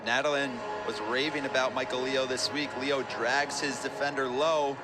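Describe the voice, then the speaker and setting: A man's voice: mid-range voice, fairly even intonation, moderate pace, over loud music. A man giving analysis as a color commentator during a live televised sports broadcast. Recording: loud stadium crowd and noise. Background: loud crowd at a game.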